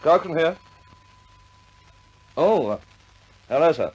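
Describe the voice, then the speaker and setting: An actor playing a middle-aged senior RAF commander in a 1950s British war film, speaking in a measured, clipped British accent with firm but kindly authority. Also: male voice, fairly high pitch, animated, darkish tone, highs cut off, slight background noise. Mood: deferential.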